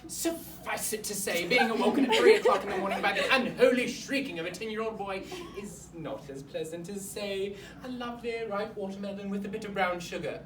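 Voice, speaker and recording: Woman doing a stuffy awkward headmaster in a comic monologue, really lively delivery, echoey room. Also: mid-range voice, a little fast, hardly any pauses, over a noisy crowd, lightly acted. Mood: pompous complaint. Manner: pompous, fussy, rambling formal politeness. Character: pompous, awkward headmaster of a boys' boarding school. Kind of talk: comic monologue in a speech competition performance. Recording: roomy, distant mic, clear background noise.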